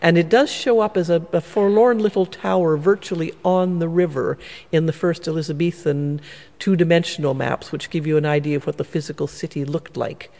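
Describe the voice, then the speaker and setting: A man speaking as a church historian, explaining history in a measured, knowledgeable way inside a church. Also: a little high, steady tone, creaky, a little mellow, big-room sound. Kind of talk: explanatory interview answers.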